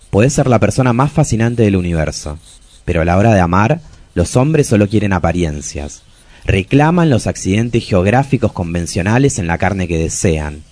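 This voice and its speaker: Deep-voiced, lovelorn Argentine diarist. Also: male voice, very animated, moderate pace, a little mellow, dry recording. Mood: bitter. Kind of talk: literary monologue in a radio play.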